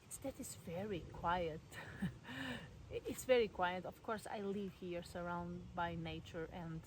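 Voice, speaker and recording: A young woman from Portugal with a Portuguese accent, talking casually to camera in her garden, with many fillers and soft laughs. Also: on the low side, fairly even intonation, a little slow, background noise. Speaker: vlogger. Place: garden at home in the countryside, outdoors.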